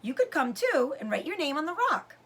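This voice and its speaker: A woman's voice: high voice, sing-song, a little fast, fairly bright tone, exaggerated voice. A woman voicing an eager, adventurous young pioneer boy in a storybook reading. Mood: eager, upbeat.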